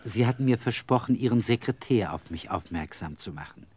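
An actor in a radio play, playing a polite, obsequious working man with a husky voice, wheedling for money. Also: lowish voice, lively intonation, a little fast, darkish tone. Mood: wheedling, apologetic. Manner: obsequious, roundabout. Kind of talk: German radio play acting.

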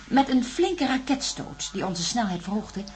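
A woman's voice: fairly high pitch, very animated, measured pace, a little mellow. An actress playing a level-headed, earnest female astronaut in a science-fiction radio drama. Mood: sober recounting. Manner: explanatory, earnest. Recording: radio drama with faint hum.

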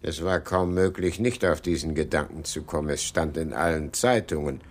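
An actor playing a sly, guarded shopkeeper suspected of murder in a German radio drama, sardonic and deliberate. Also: male voice, extremely low pitch, a little hiss or hum. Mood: sardonic, defiant.

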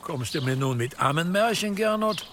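An actor playing an imperious, slyly menacing church dignitary in a medieval radio drama, speaking deliberately and with condescending insinuation. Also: male voice, fairly high pitch, animated, a bit bright, a little hiss or hum. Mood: sceptical. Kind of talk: German radio drama acting in a medieval setting.

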